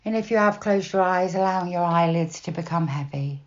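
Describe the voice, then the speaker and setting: Low-voiced woman guiding meditation, slightly muffled. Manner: slow, soft, unhurried with long pauses. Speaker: meditation guide. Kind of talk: guided body scan meditation, gentle instruction.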